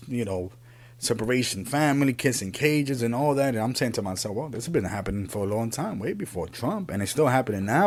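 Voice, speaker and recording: A man, born in Puerto Rico and raised in the Bronx, giving an earnest, unscripted opinion monologue as a podcast host, often halting and repeating himself. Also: mid-range voice. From Puerto Rico, raised in the Bronx, New York City.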